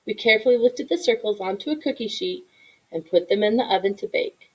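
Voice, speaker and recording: A woman reading a children's story aloud in an expressive narration, with asides to young listeners. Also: mid-range voice, brisk, poor audio. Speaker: storybook reader.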